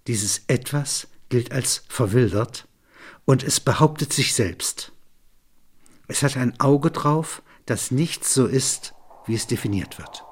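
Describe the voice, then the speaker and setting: A man narrating literary and philosophical reflections in measured, deliberate phrases. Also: medium pitch, expressive, breathy edge.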